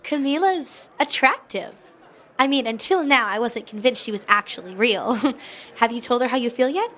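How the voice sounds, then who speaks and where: A woman playing a composed, gracious young leader in an audio drama, measured and gently formal. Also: fairly high pitch, animated. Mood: playful.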